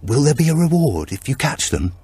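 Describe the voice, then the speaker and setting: A man voicing a cheerful, energetic old village woman in an audiobook, chatty and matter-of-fact. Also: mid-range voice, fairly quick, slightly rough, pitched above the performer's own voice. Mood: chatty, eager.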